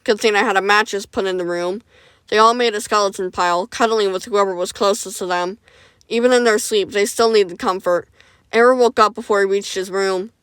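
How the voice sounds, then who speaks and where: A calm, caring male medic talks in a steady, gentle, reassuring way, read by a woman in her own voice. The sound is smooth and clear, recorded close and dry. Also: medium pitch, brisk, dry recording, lightly acted. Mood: matter-of-fact.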